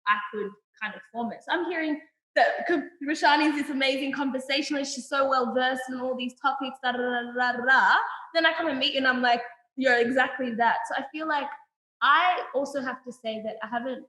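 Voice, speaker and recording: A young woman with a high voice co-hosting a casual chat. She talks animatedly, laughs a lot, and thinks aloud with many fillers. The room around her is echoey. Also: fairly quick, bright, forceful tone. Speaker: co-host. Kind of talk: casual chat playing a card game.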